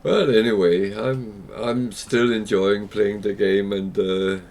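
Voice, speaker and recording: A man's voice: fairly low pitch, fairly even intonation, slow, darkish tone, slight background noise. A man from Denmark with a Danish accent, chatting casually and hesitantly while livestreaming a game. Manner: chatty, rambling, hesitant with fillers. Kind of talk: casual gameplay livestream chat.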